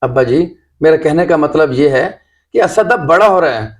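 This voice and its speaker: A man playing an indulgent father in a scripted family drama, speaking earnestly and deliberately. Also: on the high side, animated, lightly acted. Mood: firm, protective. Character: indulgent father of a young boy, respectful but firm son.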